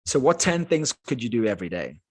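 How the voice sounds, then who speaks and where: A man with a South African accent, his first language Afrikaans, speaking as a life coach guest in a podcast interview with energetic, emphatic delivery. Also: medium pitch, fast. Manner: energetic, emphatic motivational talk.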